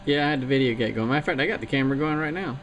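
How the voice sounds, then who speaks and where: A man's voice: medium pitch, rapid-fire. A man chatting casually and rambling a bit as he talks on a CB radio. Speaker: CB radio operator. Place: home radio station. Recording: noisy radio transmission.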